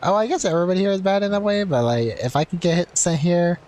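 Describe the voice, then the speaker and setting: A woman's voice: lowish voice, animated, fast talker, a little mellow, a little hiss or hum. A woman giving casual gameplay commentary as a streamer, musing and thinking aloud as she goes. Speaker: game streamer. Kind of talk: casual gameplay commentary, reading dialogue choices. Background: game music.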